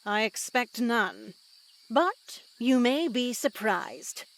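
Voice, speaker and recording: A woman playing a pious, motherly yet unsettling nun in a horror game, speaking in a genteel, measured, preachy way. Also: a little high, animated, a bit bright, heavily acted. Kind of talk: scripted visual novel voice acting.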